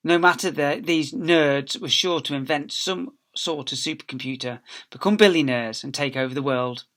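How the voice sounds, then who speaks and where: A man's voice: a little high, normal speed, fairly bright tone. A man, a teacher reading aloud, tells a children's story expressively, with theatrical emphasis and phrases that drop away at the end.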